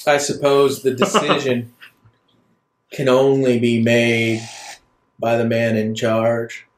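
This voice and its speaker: A man's voice: mid-range voice, monotone, slow pace. Male game master in a roomy, echoing space. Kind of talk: improvised narration of a tabletop role-playing game. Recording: roomy echo with some background noise.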